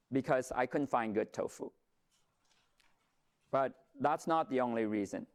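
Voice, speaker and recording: A man's voice: on the low side, smooth. Man telling a storytelling talk. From Vietnam. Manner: conversational storytelling with light humor, occasional fillers. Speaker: company founder giving a talk. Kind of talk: presentation to an audience.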